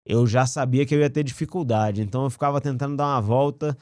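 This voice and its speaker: A man, a podcast guest telling his life story in a relaxed, slangy, earnest way. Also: mid-range voice, quick pace, dry recording, clean studio sound. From Rio de Janeiro, raised in Brasília. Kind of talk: casual conversational storytelling.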